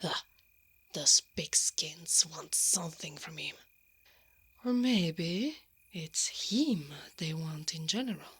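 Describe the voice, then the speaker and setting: A woman playing a brave, sardonic female knight in an ASMR roleplay, breathy and often whispering, muttering dryly to herself. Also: sits low, animated, slow, a bit bright, heavily acted. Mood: hushed, calculating. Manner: muttering to herself, dry and sarcastic.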